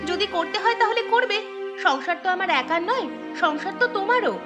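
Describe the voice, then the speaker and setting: A woman acts a young village housewife in a cartoon tale. She is high-pitched, snappy and quarrelsome, always scolding, over clearly heard music. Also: animated, brisk. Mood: scolding, indignant. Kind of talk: Bengali cartoon fairy-tale dubbing.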